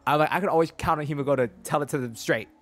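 A man's voice: a little high, racing, a bit bright. A man in his twenties giving casual, sassy, slangy reaction commentary as a game streamer. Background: game music.

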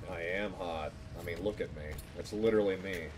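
A man's voice: sits low, fairly quick. A man chatting casually on a livestream in a playful, teasing manner, close on the mic. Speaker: streamer and voice actor.

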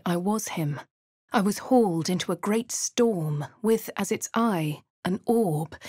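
Posh English heroine, crisp and clipped, slightly low voice, dry booth sound. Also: female voice, no room sound. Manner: crisp refined delivery, shouts spell incantations. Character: posh young adult female adventurer. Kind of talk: game character voice lines and narration.

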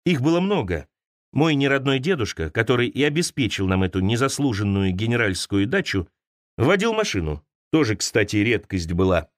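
A man's voice: mid-range voice, very animated, moderate pace, no echo, clean studio sound. A man reading a literary audiobook in the first person, dramatic and wry, drawing out lines of verse. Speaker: audiobook narrator.

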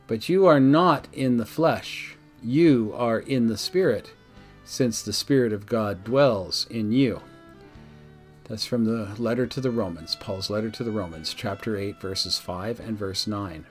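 A man speaking as a pastor, reading a devotional in a measured, earnest, formal way. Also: mid-range voice. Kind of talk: devotional reading with reflective address to listeners. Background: background music.

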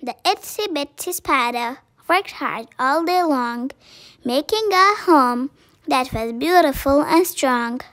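A child reading a picture book aloud in a careful, deliberate way. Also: high-pitched, animated, fairly bright tone, no echo. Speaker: story reader.

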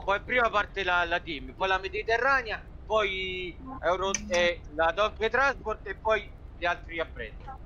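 A man's voice: sits high, unhurried, pushed and bright, highs cut off, a little hiss or hum. A man in casual gaming chat, directing others and repeating his instructions. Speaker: online truck-convoy gamer organizing the group.

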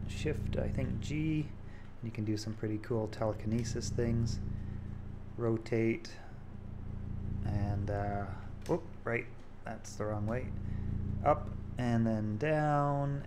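A man giving a casual, halting screen-recorded demo as a game developer, thinking aloud as he goes. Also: medium pitch, background noise. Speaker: game developer showing a project.

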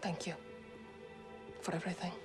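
A woman's voice: normal speed, natural voice. A young woman playing a resolute, guarded rebel army commander in a cinematic game scene. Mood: soft, grateful. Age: young adult. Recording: loud cinematic music underneath.

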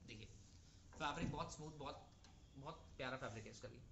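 Young male trader, brisk reassuring sales patter, noisy stockroom. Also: mid-range voice, creaky, a bit bright. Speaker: wholesale seller presenting stock. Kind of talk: product showcase pitch to retailers. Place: clothing warehouse or shop.

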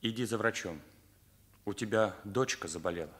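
An actor playing an earnest, indignant teenage son with an emphatic manner in a Soviet radio play. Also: male voice, lowish voice, moderate pace, fairly bright tone, close to an everyday voice. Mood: bitter, accusing. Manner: accusing, emphatic, morally rigid.

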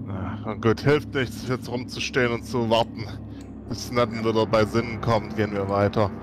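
A man's voice: on the low side, steady tone, moderate pace. Casual male player, music behind. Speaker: tabletop game player. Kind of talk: casual in-game chat.

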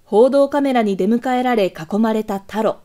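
Female archive-news narrator, higher-pitched with a mellow, soft-edged tone; formal, measured scripted read.

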